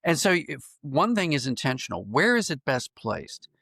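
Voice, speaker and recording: Gravelly American man in reflective conversation. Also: on the high side, big pitch swings, normal speed, fairly bright tone, dry recording, studio quality. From Pennsylvania, United States. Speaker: podcast guest, writer and audio drama producer.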